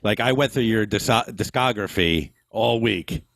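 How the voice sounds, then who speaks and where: A man's voice: lowish voice, a little slow, gravelly, a bit bright, dry recording. An American man co-hosting a podcast, chatting casually in a joking, wry way. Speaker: podcast co-host. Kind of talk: casual chat.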